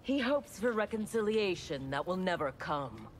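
A woman's voice: lowish voice, sing-song, normal speed, slight background noise. A woman playing a proud, cold, ambitious armored warrior in a video game, stern and formal. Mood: resolute. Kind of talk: video game dramatic dialogue. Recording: music behind the voice.

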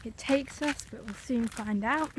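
A teenage girl talks into a phone held at arm's length while out walking by a marina. Her voice sits a little high, and she rambles along with plenty of "um" and "like." The outdoor recording carries clear background noise. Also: unhurried. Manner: rambling, lots of "um" and "like". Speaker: vlogger. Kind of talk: casual vlog chat. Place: outdoors at a sunny marina and woodland path.

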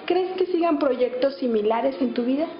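A woman's voice: high voice, moderate pace, over music. A woman working as an interviewer and presenter. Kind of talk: interview questions and scripted introductions. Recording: slightly muffled, faint background noise.

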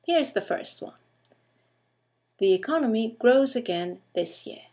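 A woman's voice: a little high, expressive, unhurried, darkish tone. A woman teacher narrating a scripted grammar lesson, enunciating carefully and putting questions to the listener.

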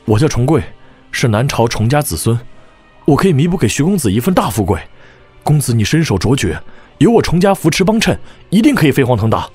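An actor playing a scheming young aristocrat in a Chinese martial-arts audio drama, formal, calculating and ingratiating. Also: male voice, mid-range voice, sing-song, a little fast, darkish tone, slightly rough, faint music behind. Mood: ingratiating, anxious.

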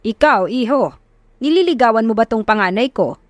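A woman voicing a blunt, loud middle-aged father of grown daughters in an audio romance, with a Filipino accent. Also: a little high, expressive, moderate pace, no room sound, close to an everyday voice. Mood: questioning.

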